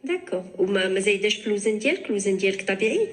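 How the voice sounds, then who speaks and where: Fast-talking Moroccan woman doctor. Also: medium pitch, very animated, faint music behind. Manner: fast Darija mixed with French, many restarts. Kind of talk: radio call-in advice.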